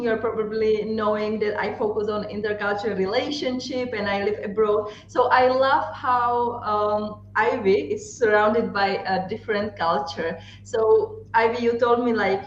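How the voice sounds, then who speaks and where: A woman's voice: on the high side, reverberant, dull audio, slight background noise. A woman hosting an interview over a video call, speaking in a friendly, hesitant way with fillers. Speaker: podcast host.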